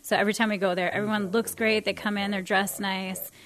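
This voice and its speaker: A woman in her thirties, a country band singer, chatting as a podcast guest in a trophy-filled den, with a lower voice and an easy laugh. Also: level tone, fairly bright tone. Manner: chatty and laughing easily, quick asides. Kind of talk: casual podcast interview. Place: den with mounted trophies and leather couches. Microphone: studio mic on boom arm, headphones.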